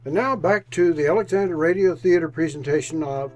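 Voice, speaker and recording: A man's voice: a little high, a little fast. A man narrating as a radio announcer, reading scripted old-time drama in a theatrical storytelling manner.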